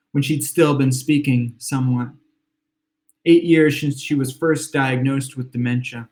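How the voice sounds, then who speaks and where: A man with a slightly hoarse, worn voice reads his own literary fiction in a measured, reflective way. His pitch sits in an ordinary middle range, and he lets the first-person lines unfold at an unhurried pace. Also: darkish tone. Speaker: author. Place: home room with exposed brick.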